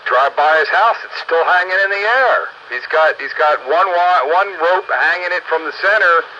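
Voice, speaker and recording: An older man with a fairly high, very bright voice. He banters plainly with his radio buddies in a casual chat. The audio is muffled, like a radio link. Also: normal speed. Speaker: amateur radio operator. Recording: muffled two-way radio audio, faint noise.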